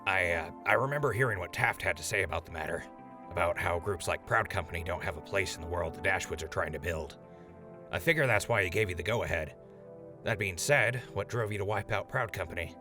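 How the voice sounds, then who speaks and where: A man's voice: low voice, brisk, music in the background, lightly acted. A man playing an earnest, cheeky wasteland radio host in an audio drama, conversational and deferential. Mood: earnest, curious. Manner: conversational, deferential, hesitant when flustered. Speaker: radio host interviewing a general.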